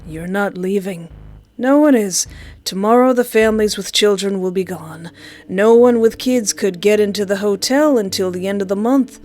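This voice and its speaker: A woman voicing a cold, menacing male bunny mascot killer in a flat, threatening delivery. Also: mid-range voice, lightly acted.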